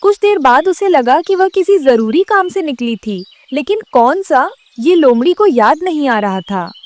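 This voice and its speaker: A woman's voice: sits high, big pitch swings, a little slow, darkish tone. A woman narrating a scripted children's cartoon story.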